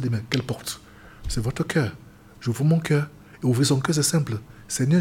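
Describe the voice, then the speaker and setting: A man's voice: medium pitch, a little mellow, slight background noise. A man from Cameroon, a seamen's chaplain and pastor, speaking earnestly as an interview guest and often restarting his phrases. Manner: earnest, repetitive, stumbles and restarts.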